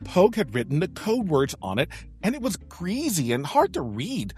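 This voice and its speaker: A woman playing a theatrical, excitable, food-loving adventurer with a flowery, melodramatic way of talking in a sci-fi audio drama. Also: on the low side, moderate pace, soft background music. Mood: dramatic recounting. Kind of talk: science fiction audio comedy drama.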